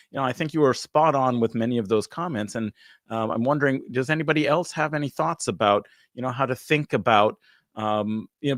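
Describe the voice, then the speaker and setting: A man moderating a livestream panel discussion, talking in a halting way with many fillers and restarts. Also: mid-range voice, fairly bright tone, dry recording, studio quality.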